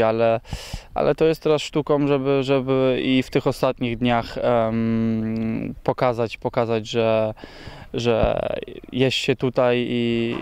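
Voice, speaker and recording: A young man, a football player, giving an interview outdoors at a training ground, halting and full of fillers and repeated words. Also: medium pitch, darkish tone, clear, resonant tone. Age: young adult.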